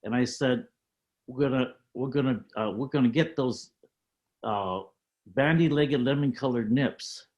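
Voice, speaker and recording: Halting older man recalling his past. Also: mid-range voice, a little mellow, highs cut off. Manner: measured, halting, with many fillers. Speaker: panel guest. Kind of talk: reflective storytelling on a panel.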